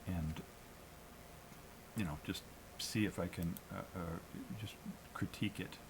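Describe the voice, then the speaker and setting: An American man chatting casually and unscripted on a solo livestream, in a halting, hesitant, self-critical way. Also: low voice, fairly even intonation, noisy background. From New England, US. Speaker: amateur live streamer.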